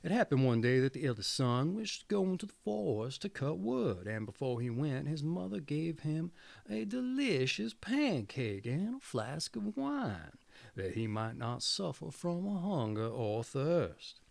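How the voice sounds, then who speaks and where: A man's voice: a little high, sing-song, a little slow, creaky, no room sound. A man reading fairy tales aloud as a storyteller, dramatic and folksy, sometimes correcting his own reading. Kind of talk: fairy tale narration, read aloud.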